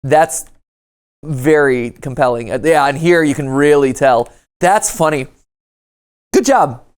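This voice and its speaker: A man's voice: a little high, unhurried, smooth. Male host for casual reaction commentary, playful and joking, conversational delivery.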